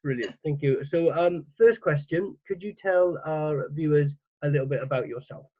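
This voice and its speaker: A man's voice: fairly high pitch, normal speed, no room sound. Eager male host, tinny phone-like line. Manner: eager, hesitant, stumbling over words. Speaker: YouTube channel host, interviewer. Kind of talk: casual interview hosting. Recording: thin phone-like sound, dry.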